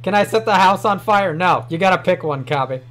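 A man's voice: high voice, lively intonation, a little fast, a bit bright. A young man with a New York accent, chatting casually on a livestream from a small room, rambling and swearing offhandedly. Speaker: streamer.

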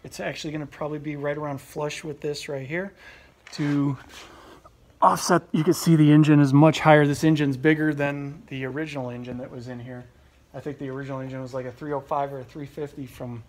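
A man in his thirties giving a relaxed, rambling walkaround of a boat project in a large workshop garage, filmed on a handheld phone, with many fillers and restarts. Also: medium pitch. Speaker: channel host. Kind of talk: casual walkaround vlog. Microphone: handheld phone camera close up.

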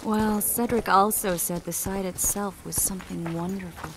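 An actress playing a tender, thoughtful young sorceress in a video game, speaking softly and expressively. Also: female voice, mid-range voice, moderate pace, close to an everyday voice. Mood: wistful. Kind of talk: video game dramatic dialogue. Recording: steady rain and ambient noise behind. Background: rain.

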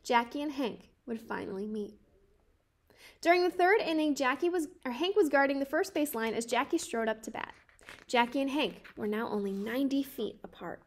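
A woman in her twenties reading a children's picture book aloud in a careful, measured way. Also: high-pitched, lively intonation. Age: 20s. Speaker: storybook reader.

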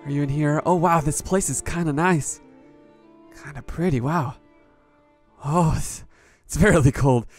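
A man playing an excitable, naive young adventurer, stammering and talking to himself as he goes through an amateur adventure story. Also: a little high, unhurried, pauses often, soft background music. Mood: tired, awed. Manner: talks to himself, stammering, breathlessly reactive.

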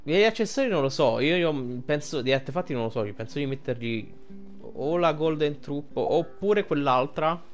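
A man's voice: on the high side, lively intonation, moderate pace, soft background music. An Italian man giving casual gameplay commentary, rambling and thinking aloud as he plays. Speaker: gaming streamer.

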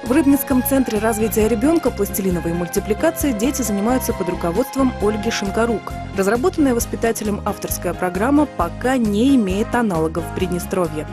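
A woman's voice: medium pitch, animated, fairly quick, few pauses. Woman reporter narrating over music. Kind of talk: scripted narration of a TV feature.

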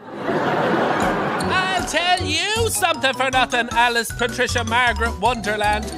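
An actor with a Dublin Irish accent playing a sharp-tongued, vain, irritable pantomime dame in an audio drama, camp and exasperated. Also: male voice, extremely high pitch, slow, over loud music. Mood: broad stage performance.

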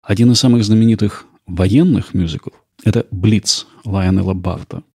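A man's voice: fairly low pitch, moderate pace, creaky, mellow, slightly rough, no room sound, studio quality. A man hosting a podcast with scripted documentary narration. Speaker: podcast host.

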